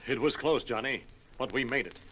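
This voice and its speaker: An actor playing an earnest, commanding scientist and superhero leader, formal and articulate, in a 1970s audio drama. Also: male voice, mid-range voice, a little fast, fairly bright tone, natural voice. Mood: concerned. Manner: formal, articulate, self-assured.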